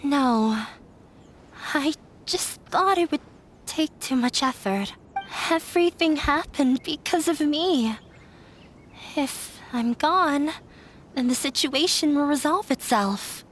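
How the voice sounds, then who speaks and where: An actress playing a quiet, guilt-ridden young woman who talks softly and blames herself. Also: high voice, lively intonation, takes it slowly, slight background noise, exaggerated voice. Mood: resigned. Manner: subdued, self-blaming, soft. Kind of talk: game voice acting.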